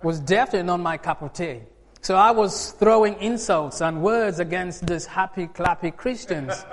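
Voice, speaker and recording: A man in his forties from Uganda, preaching a sermon in a lively way with emphatic bursts and laughs. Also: high-pitched, normal speed. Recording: long hall reverb.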